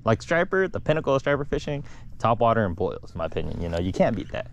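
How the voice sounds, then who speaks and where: A man's voice: medium pitch, fast talker, muffled recording. A middle-aged man vlogging from a small fishing boat, chatting casually in slang and whooping when he hooks a fish. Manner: excited whoops and laughs, slangy. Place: small boat on a marshy river, outdoors. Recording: outdoor wind and water noise.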